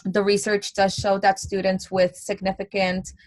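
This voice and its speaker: A woman's voice: mid-range voice, completely flat delivery, moderate pace, dry recording. A young adult woman giving a college lecture in a conversational way, with many fillers and restarts.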